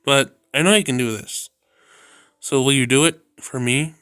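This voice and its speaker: A man's voice: mid-range voice, moderate pace, fairly bright tone, clean tone, no room sound, studio quality, lightly acted. A man reading as a sweet, clingy young woman. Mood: coaxing. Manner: coaxing, affectionate. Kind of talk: reading a visual novel aloud.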